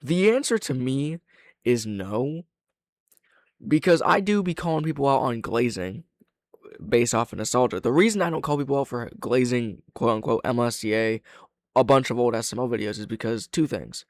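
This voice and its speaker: A man's voice: mid-range voice, expressive, moderate pace, no echo. Opinionated man giving casual reaction commentary, dry sound. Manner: opinionated, frank, swears. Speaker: reaction video host and reviewer.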